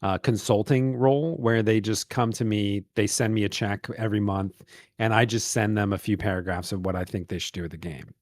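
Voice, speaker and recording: A man co-hosting a casual gaming podcast, telling stories in an animated way and laughing easily. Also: fairly low pitch, fairly quick, dry recording, studio quality. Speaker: podcast co-host.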